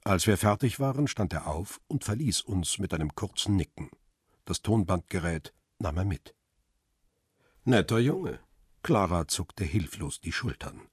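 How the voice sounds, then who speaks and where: An actor playing a wry, stubborn photographer who narrates and speaks in a crime radio drama, with a dry, confrontational manner and a husky, rough voice. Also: male voice, sits low, lively intonation, a little slow, no room sound, exaggerated voice. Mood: dry, guarded.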